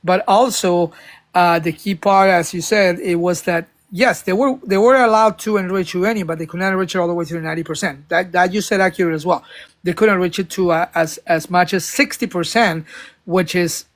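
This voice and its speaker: A man's voice: high-pitched, steady tone, a little fast. A man making a political argument on a live panel, talking in a rambling way with many stumbles and restarts, now and then emphatic. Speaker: panel speaker. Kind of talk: casual political discussion.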